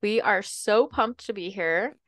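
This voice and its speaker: A woman's voice: mid-range voice, unhurried, a bit bright, no room sound, studio quality. A young woman from Baltimore co-hosting a podcast, telling stories in a chatty, upbeat way. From Baltimore, Maryland. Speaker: podcast co-host. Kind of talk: casual chat.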